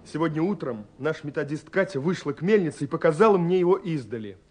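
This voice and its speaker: A man's voice: a little high, lively intonation, fast talker. An actor playing a romantic, dreamy young man who speaks his love letters in a lyrical, intimate radio play monologue. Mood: excited. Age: young adult. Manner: lyrical, intimate, swinging between hushed and exclaiming. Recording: slightly husky-sounding 1979 radio recording. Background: soft music.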